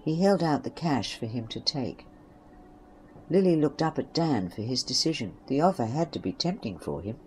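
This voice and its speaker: A male narrator reads a scripted story. His pitch is average for a man, and a light layer of background noise sits under the voice. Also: sing-song, normal speed, darkish tone.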